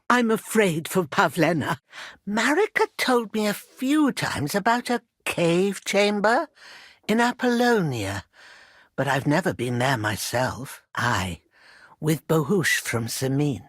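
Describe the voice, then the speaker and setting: An actress playing an earnest old village herbalist and mother in a dramatic video game, with a weathered, husky voice. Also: fairly low pitch, no echo, clean studio sound, full character voice. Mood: guarded, helpful. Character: worried old village herbalist mother, plain-spoken and grieving.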